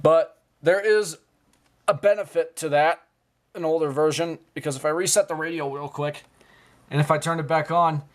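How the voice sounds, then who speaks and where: A man giving an informal, excitable show-and-tell commentary, often interjecting as he goes. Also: on the high side, normal speed. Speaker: video host. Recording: clearly noisy home recording.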